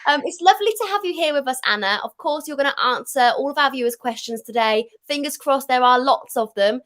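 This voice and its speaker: High-pitched young female host, chatty and jokey, webinar on a video call. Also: expressive, a little fast, fairly bright tone. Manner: chatty, friendly, jokey asides. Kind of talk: upbeat scripted webinar hosting.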